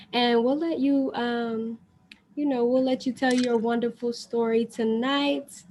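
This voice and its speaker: A woman's voice: fairly high pitch, darkish tone. A woman hosting a talk show, introducing her guest in a friendly, brief way. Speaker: host.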